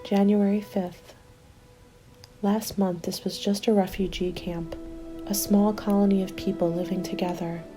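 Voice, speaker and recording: A woman playing a hopeful survivor of a zombie apocalypse in an ASMR roleplay, soft-spoken and often slipping into whispers. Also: mid-range voice, flat delivery, unhurried, natural voice. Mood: reflective, worried. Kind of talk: ASMR roleplay, intimate storytelling. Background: background music.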